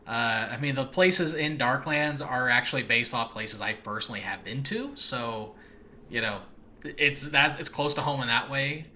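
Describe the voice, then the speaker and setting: A man's voice: medium pitch, animated, normal speed, sharp tone. A man hosting a casual solo podcast, talking enthusiastically and off the cuff, often rambling and interrupting himself. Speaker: podcast host, writer and voice actor of audio dramas. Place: room in a new home, no regular recording space. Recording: faint background noise, makeshift home recording space.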